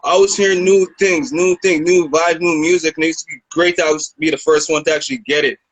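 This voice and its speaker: A man's voice: fairly high pitch, fairly even intonation, quick pace, low-quality recording. A man in his thirties from Canada, a rapper guest telling his story in a laid-back, rambling way. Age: 30s. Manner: laid-back, rambling, repeats you know what I mean. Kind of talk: casual interview storytelling.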